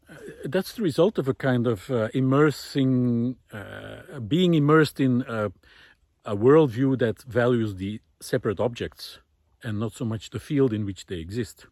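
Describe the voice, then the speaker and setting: Middle-aged man, medium-pitched voice, hesitant musing delivery, informal monologue, very dry recording. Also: no echo. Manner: hesitant, musing, pausing mid-phrase. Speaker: art teacher giving a recorded monologue. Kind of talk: informal reflective monologue, thinking aloud. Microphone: close recorder carried while walking.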